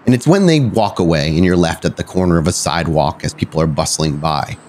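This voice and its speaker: A man hosting and narrating a tabletop roleplaying game, often halting and correcting himself as he goes. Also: sits low, expressive, darkish tone. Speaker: podcast host and game storyteller.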